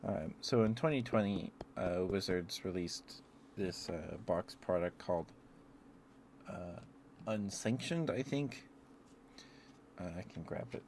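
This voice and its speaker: A man with a very low voice chats casually on an ASMR livestream, with clear noise behind him. He meanders and hesitates as he talks to his viewers, often sounding apologetic. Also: animated, slow pace, pauses often, a little mellow. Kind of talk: rambling ASMR stream, casual chat with viewers.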